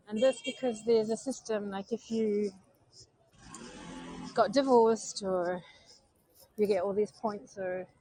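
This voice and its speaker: A woman's voice: mid-range voice, warm tone, no room sound, poor audio. A woman with a New Zealand accent chatting to her viewers on a live stream as she walks through city streets, sounding subdued and disappointed. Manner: talks to viewers while walking, subdued and disappointed. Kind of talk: casual live-stream chat while walking. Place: city streets outdoors at night.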